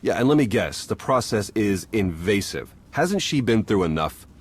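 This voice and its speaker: An actor playing a wry, protective, down-to-earth secret agent in a video game, with a casual, clipped delivery. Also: male voice, fairly low pitch, very animated, normal speed, slightly rough. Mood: protective, indignant. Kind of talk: video game cutscene acting.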